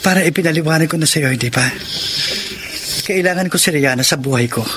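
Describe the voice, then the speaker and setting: An actor playing a needy, devoted boyfriend in a Filipino romantic radio drama. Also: male voice, fairly high pitch, fast, background noise, close to an everyday voice. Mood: worried, defensive. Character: needy, devoted boyfriend afraid of losing his love.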